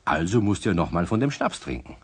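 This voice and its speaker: A man narrating a 1960s radio play in a measured, storytelling way. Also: low-pitched, very animated, slightly rough.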